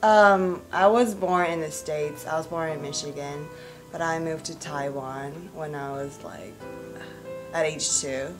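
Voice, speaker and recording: A woman's voice: low-pitched, steady tone, a little slow, over music, poor audio. A woman in her twenties, an actress answering interview questions in a rambling way, full of "like" and "you know". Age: 20s.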